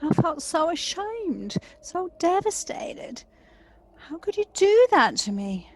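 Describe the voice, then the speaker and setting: A woman's voice: very high voice, sing-song, takes it slowly, darkish tone, full character voice. A woman playing a jealous, wounded and accusing sister in an audio play, dramatic and confrontational. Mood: hurt.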